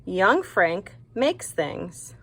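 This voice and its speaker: A woman reads a children's picture book aloud at an unhurried pace. She sounds like a teacher, expressive and guiding, and pauses for asides and questions to her young listeners. Her voice sits at a medium pitch. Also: husky.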